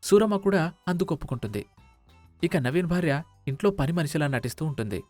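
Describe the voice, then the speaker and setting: A man's voice: fairly high pitch, animated, fast talker, a little mellow, dry recording, soft background music. A man narrating a scripted moral story as its storyteller.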